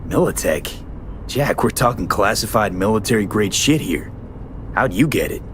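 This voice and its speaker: An actor playing a guarded, wry street mercenary in a video game, terse and skeptical, with an American accent. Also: male voice, on the low side, expressive, normal speed, background noise, close to an everyday voice. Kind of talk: casual game dialogue.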